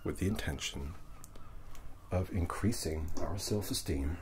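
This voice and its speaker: Very deep, hushed ASMR man. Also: slight background noise. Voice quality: hushed, breathy, often slipping into whisper. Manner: gentle, unhurried, reassuring. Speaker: ASMR reiki session host. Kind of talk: ASMR guided relaxation, speaking softly to the listener.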